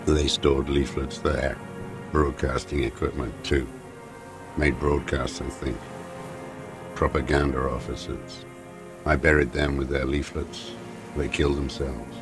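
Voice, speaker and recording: An actor playing a bitter, weary old communist deserter and former commissar in a video game, speaking in terse, brooding phrases with a smoker's rasp. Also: male voice, a bass voice, level tone, a little slow, long pauses. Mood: grim, brooding. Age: older. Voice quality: gravelly, coughing smoker's voice. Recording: music under the voice.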